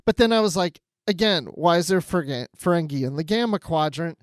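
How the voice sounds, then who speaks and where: A male podcast co-host with a fairly high voice for a man, chatting casually. He wonders aloud and acts out his own thoughts as he goes. The sound is very dry, without room echo. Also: expressive, a little fast. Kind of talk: casual discussion of a TV show.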